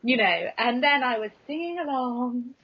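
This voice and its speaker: A woman with an English accent talks casually as a guest on a podcast. Her voice sits fairly high, and she is frank, chatty and self-deprecating. The sound is dull and low in fidelity. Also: animated, moderate pace, husky. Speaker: guest author being interviewed. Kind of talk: casual chat. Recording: dull, lo-fi early podcast recording.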